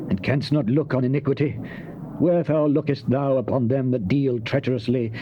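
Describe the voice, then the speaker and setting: A man acting an anguished prophet who pleads and laments before God in a solemn, drawn-out, declamatory scripture drama. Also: medium pitch, natural voice. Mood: reverent, pleading. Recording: dull cassette tape with faint noise.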